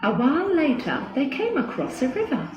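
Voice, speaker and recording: High, animated woman storyteller. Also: moderate pace, lo-fi sound, noisy background. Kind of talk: scripted fairy tale narration for children. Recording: echoey, music under the voice.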